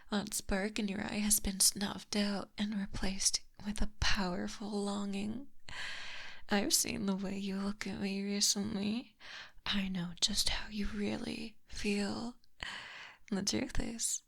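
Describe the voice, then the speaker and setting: A woman voicing a possessive, smugly teasing snake monster in an intimate, breathy ASMR roleplay, close to the microphone. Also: medium pitch, level tone, heavily acted. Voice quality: breathy, whispery. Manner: slow, smug, softly menacing. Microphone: close binaural microphone.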